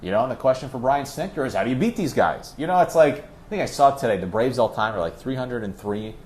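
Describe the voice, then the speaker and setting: A man's voice: medium pitch, expressive, fast talker, darkish tone. A man working as a radio play-by-play announcer, talking sports as a guest in an emphatic, conversational way with rhetorical questions. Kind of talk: animated sports discussion.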